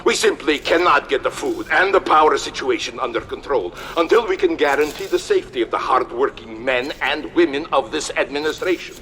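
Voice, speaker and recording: An actor playing a stern Soviet general in a video game, speaking firmly like an official making a public statement. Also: male voice, a little high, expressive, brisk, few pauses, bright, forceful tone. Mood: indignant, pleading. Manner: firm, official, politician-like. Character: stern male Soviet general and occupation leader. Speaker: military leader giving interview. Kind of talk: scripted game acting.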